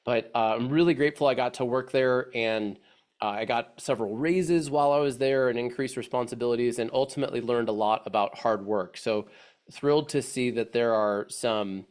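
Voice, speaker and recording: A man's voice: medium pitch, normal speed, a little mellow. Congested man giving a chatty webinar. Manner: friendly, chatty, many restarts and fillers. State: congested from allergies. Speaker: webinar presenter, agency head. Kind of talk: casual, rambling webinar presentation.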